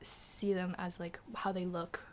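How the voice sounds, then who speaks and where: A woman's voice: fairly low pitch, level tone, a little slow, a little hiss or hum. Chatty teenage girl, slight British tinge. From Macau. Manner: chatty, filler-heavy, trails into soft half-whispers. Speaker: guest. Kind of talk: casual chat.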